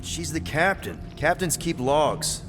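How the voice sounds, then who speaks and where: An easygoing young-sounding space hero leading his crew in a video game. His casual voice is fairly high and very animated, over clear background music. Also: takes it slowly. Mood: determined. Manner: casual, colloquial, expressive. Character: easygoing, boyish male space-adventurer team leader.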